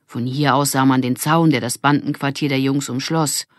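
A woman narrating a children's audiobook in an expressive storytelling manner. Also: very deep voice, moderate pace, no echo, studio quality.

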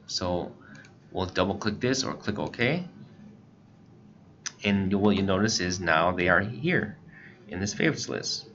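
An American man giving a casual, informal software tutorial, explaining things step by step as an instructor. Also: sits low, expressive, measured pace, pauses often. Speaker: instructor, scanner shop staff. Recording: muffled lo-fi voice-over, faint background noise.

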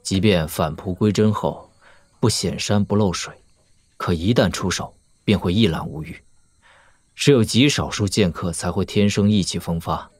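A man playing an assured young lord and master swordsman in a Chinese wuxia audio drama, measured, analytical and dryly witty. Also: sits low, husky, no room sound, clean studio sound. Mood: calm, analytical.

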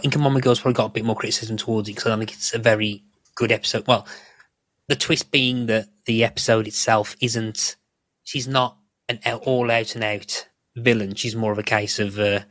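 A man's voice: medium pitch, steady tone, brisk, fairly bright tone, no room sound. A British man hosting a podcast, casually discussing a television episode. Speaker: podcast host.

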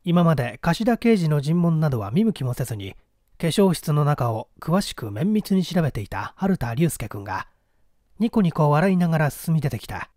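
A man's voice: fairly high pitch, sing-song, normal speed, a little mellow, no room sound, clean studio sound. A man narrating a mystery story from a script as an audiobook reader.

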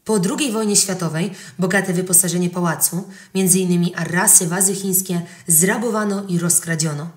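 A woman's voice: on the low side, fairly even intonation, normal speed, few pauses, a little mellow. A woman reading a scripted history podcast narration. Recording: roomy, echoing voice recording.

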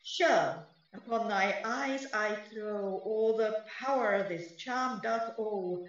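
A woman playing a mischievous fairy spirit, incanting deliberately in a Shakespearean radio play. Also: medium pitch, close to an everyday voice. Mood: spellbinding. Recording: echoey, slightly distant and dull.

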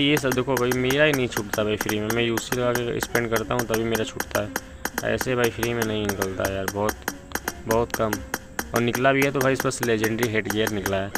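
A man's voice: lowish voice, creaky. A man giving casual, chatty gameplay commentary, talking to viewers like brothers. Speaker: gaming video creator. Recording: loud music behind the voice. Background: loud music.

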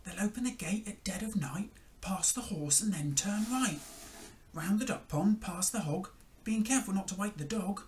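A storyteller voicing a small ladybird in a hushed, secretive, breathy way for a children's storybook. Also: medium pitch, lively intonation, moderate pace, distant mic, slight background noise, voice pushed up, lightly acted. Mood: secretive, urgent. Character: small ladybird whispering a plan to farm animals.

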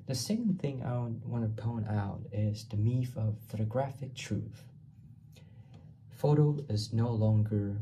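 A young man from Taiwan speaks slowly, hunting for words and circling back over phrases. His voice is low-toned and gravelly, with a mellow, dark color. He records through a webcam mic in a small bare room, with a faint hum behind him. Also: low-quality recording. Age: young adult. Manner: halting, searching for words, repeats phrases. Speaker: student. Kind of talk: class reading response video. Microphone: laptop webcam mic, framed from below.

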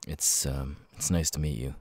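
A man voicing a nervous, awkward young man who stammers haltingly through verbal tics in an ASMR roleplay. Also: very deep voice, fairly even intonation, darkish tone, dry recording. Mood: nervous, apologetic.

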